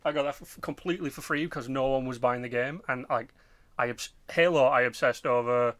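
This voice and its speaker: A man in his twenties talks as a podcast host in a casual, animated storytelling manner. His voice sits at an average pitch, and the sound is dry with very little room in it. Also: brisk, no echo. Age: 20s. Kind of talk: casual chat about films and games.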